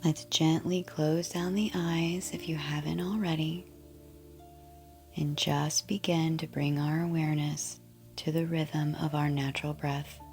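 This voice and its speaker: Slow, low-voiced woman guiding relaxation. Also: fairly even intonation, soft background music. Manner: soothing, gentle, unhurried. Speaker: yoga nidra guide. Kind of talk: guided relaxation meditation.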